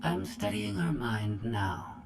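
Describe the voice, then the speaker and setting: A woman's voice: a bass voice, sing-song, slow, creaky, over music, full character voice. A woman voicing a cold, calculating female AI droid, precise and clipped, through a robotic filter. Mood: clinical.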